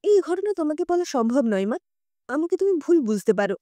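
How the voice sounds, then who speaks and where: A woman's voice: high voice, big pitch swings, a little fast, mellow, no room sound. A woman voicing a troubled, stubborn young college woman, earnest and guarded, in an audio story. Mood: guarded, pleading.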